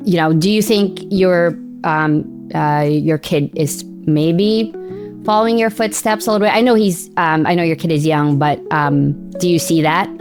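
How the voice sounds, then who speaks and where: A woman's voice: deep voice, normal speed, a little mellow, soft background music. A woman in her thirties from the Philippines hosting a casual interview. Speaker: podcast host. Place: small home studio room, indoors. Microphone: close studio mic on boom arm.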